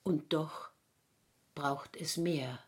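A woman declaiming poetry from a stage in a defiant, forceful way. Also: sits low, fairly even intonation, drawn-out words, slightly rough. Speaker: stage performer.

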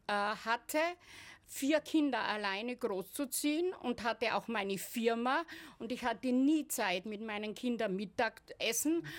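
A woman in her late sixties with an Austrian accent, talking eagerly in an interview, with many hesitations. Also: on the high side. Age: 60s. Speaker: amateur stage performer. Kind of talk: casual interview talk.

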